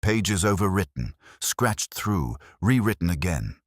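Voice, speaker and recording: Very deep, husky brooding male narrator. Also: sing-song, no echo, clean studio sound. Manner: measured, brooding, literary delivery in short clipped phrases. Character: weary, introspective male detective narrating his case. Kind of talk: scripted first-person detective story narration.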